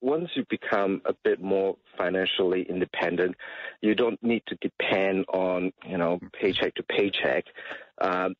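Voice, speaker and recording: He is a middle-aged man, chatting casually on talk radio. His voice sits very low and has a rough, husky grain. He feels his way through sentences hesitantly, and the audio is somewhat dull. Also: measured pace, dry recording. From Malaysia. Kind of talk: casual chat.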